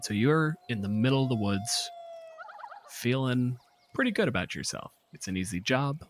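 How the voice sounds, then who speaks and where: A man's voice: medium pitch, big pitch swings, normal speed, background noise. A man speaking casually as a game master, narrating scenes off the cuff with some profanity.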